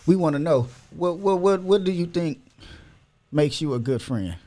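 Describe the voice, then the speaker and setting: A man's voice: on the high side, lively intonation, moderate pace, warm tone. A man hosting a casual podcast, talking loosely and opinionated with lots of slang. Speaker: podcast host.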